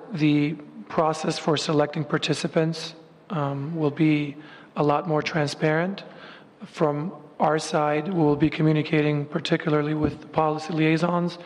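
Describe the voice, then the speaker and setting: Steady-voiced man running a meeting. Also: a little high. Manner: measured, filler-heavy, steady delivery. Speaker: meeting facilitator. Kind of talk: formal meeting talk. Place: large meeting room. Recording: echoey meeting room.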